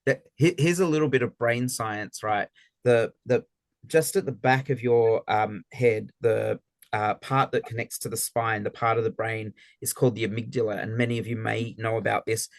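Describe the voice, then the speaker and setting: A man's voice: lowish voice, steady tone, moderate pace, vocal fry, no room sound. A man leading an interactive group session on a video call, encouraging and affirming, often restarting his words. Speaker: workshop facilitator.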